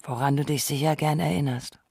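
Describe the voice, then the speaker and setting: A woman playing a thoughtful, knowing essayist, speaking scripted radio narration in a measured, reflective way. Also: low voice, steady tone, creaky, mellow, slightly rough, no room sound, studio quality, exaggerated voice. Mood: understanding.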